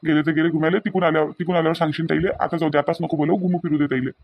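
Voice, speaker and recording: A man's voice: fairly high pitch, steady tone, racing, gravelly, dull audio. A man playing an easygoing grown son in a family drama, pleading repetitively in a Varhadi Marathi accent. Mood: placating. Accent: Varhadi Marathi of Vidarbha. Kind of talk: amateur family comedy-drama dialogue.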